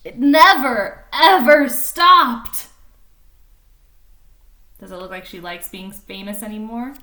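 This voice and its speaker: A woman with a fairly high, very bright voice reads a storybook aloud to children. Her delivery is animated and expressive, with chatty explanations and questions in the manner of a teacher. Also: slow. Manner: animated, explains and asks questions of young listeners. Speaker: teacher reading a picture book aloud.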